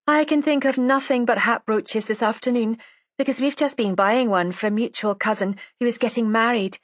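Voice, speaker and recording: A woman narrator plays a lively, good-humoured lady in a detective story. She is wry and conversational, with raised pitch and a dry close sound. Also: normal speed, no echo, lightly acted. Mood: chatty.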